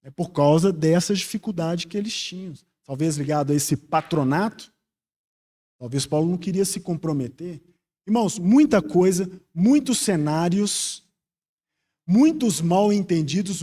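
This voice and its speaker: High-pitched Brazilian male preacher. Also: mellow. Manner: earnest, reflective, rhetorical questions to the congregation. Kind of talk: expository Bible teaching sermon.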